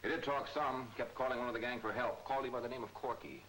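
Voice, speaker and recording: A man's voice: medium pitch, fairly even intonation, quick pace, slight background noise, close to an everyday voice, low-quality recording. A man with an American accent playing a tough, grim, hard-boiled detective hero, clipped, in a 1940s movie serial. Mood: brisk, determined. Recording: old film soundtrack, very loud music.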